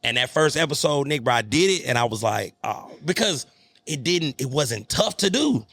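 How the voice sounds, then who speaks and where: A man in his thirties hosting a podcast, chatting casually in an animated, slangy, talkative way. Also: medium pitch, moderate pace, no echo. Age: 30s. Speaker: podcast host. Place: cigar lounge.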